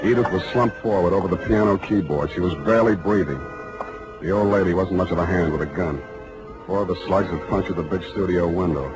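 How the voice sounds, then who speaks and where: Low-pitched hard-boiled American radio detective played by a man. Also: a little fast, lightly acted, poor audio. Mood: terse, grave. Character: tough, smitten male private detective, wry narrator. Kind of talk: 1940s radio detective drama, first-person narration. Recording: old 1940s radio broadcast, music behind. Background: music.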